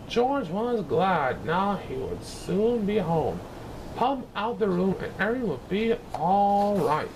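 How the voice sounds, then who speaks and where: A man narrating a children's storybook in an expressive, deliberate storytelling manner with dramatic emphasis. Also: sits high. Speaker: storybook reader. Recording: clear background noise.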